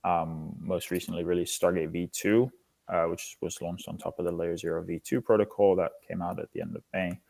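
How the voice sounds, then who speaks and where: A man's voice: low voice, little pitch movement, fairly quick, creaky, a little mellow. A man in his twenties from Singapore, explaining his work as an interview guest. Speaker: interview guest, foundation lead. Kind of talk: interview answers, explanatory talk.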